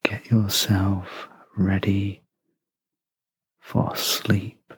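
A guide leading a sleep meditation in a breathy half-whisper, gentle and soothing, with long pauses between short phrases. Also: sits low, little pitch movement, no echo. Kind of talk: guided sleep meditation, soft scripted narration.

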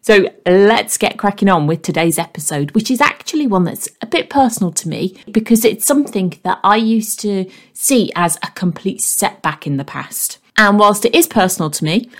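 A woman with a slightly croaky voice hosting a motivational podcast and speaking to listeners in an earnest, encouraging way. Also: mid-range voice, animated, normal speed, hardly any pauses. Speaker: podcast host and coach.

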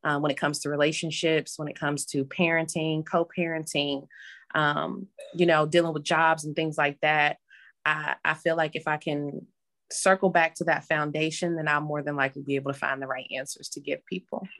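Woman w a low voice, advice columnist, thinks out loud, lots of ums. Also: little pitch movement, normal speed. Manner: reflective, hesitant with fillers. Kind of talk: interview answers.

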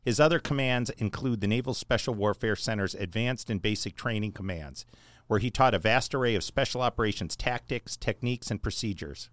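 Male podcast host, lowish voice, measured list-like reading, dry close mic. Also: clear, resonant tone, no echo. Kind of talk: reading an introduction, then interview chat.

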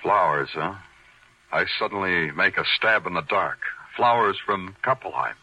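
A hard-boiled police detective from a 1940s radio crime drama. His voice is sharp and forceful with a husky rasp, and he talks in wry, clipped, needling lines. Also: sits low, lively intonation, normal speed, dull audio, heavily acted. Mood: dry, accusing. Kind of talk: 1940s radio noir acting. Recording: old, rough-sounding radio drama recording.

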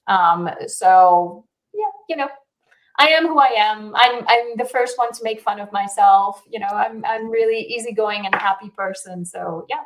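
A woman's voice: mid-range voice, normal speed, rough recording. Guest realtor, woman in her forties, light South African accent, chatty and easygoing, laughs often. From Israel. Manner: chatty, laughs easily, many fillers. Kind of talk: casual interview answers.

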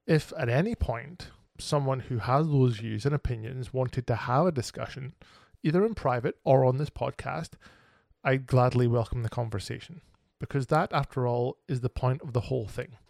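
A man's voice: mid-range voice, normal speed, vocal fry, a little mellow, no room sound, studio quality. A man hosting his own podcast, talking alone in an earnest, reflective and candid way. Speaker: podcast host. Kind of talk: solo podcast monologue.

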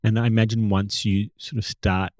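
A man hosting an interview, asking questions and adding thoughtful comments in a measured, articulate way. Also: fairly low pitch, little pitch movement, darkish tone, dry recording. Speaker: interviewer, host.

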